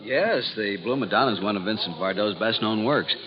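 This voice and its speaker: A male actor playing an earnest, excitable and insistent art expert in a vintage radio drama. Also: fairly low pitch, a little fast, crowd noise, close to an everyday voice. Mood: curious. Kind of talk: 1950s American radio drama acting. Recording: dull old radio recording.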